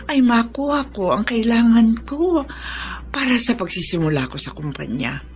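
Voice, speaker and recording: A woman's voice: a little high, expressive, normal speed, lightly acted. An actress playing a middle-aged, domineering, falsely sweet Filipino stepmother in a radio drama. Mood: condescending. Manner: falsely sweet, condescending, self-important. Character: scheming, domineering stepmother taking over the family company.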